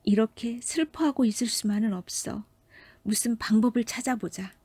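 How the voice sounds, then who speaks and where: Storybook princess, fifteen, acted by a woman: high, sweet, polite, plaintive. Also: moderate pace, a little mellow, husky, no echo. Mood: sad, longing. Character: gentle, earnest fifteen-year-old princess, sweet and plaintive. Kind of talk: storybook character voice.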